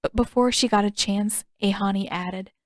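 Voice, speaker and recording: A woman's voice: mid-range voice, normal speed, no echo. Woman narrating fiction, very dry sound. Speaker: audiobook narrator.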